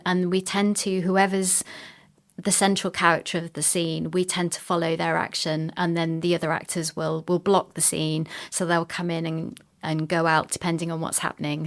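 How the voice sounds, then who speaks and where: A woman, a sound designer, talking as a guest in an interview about work. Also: fairly low pitch.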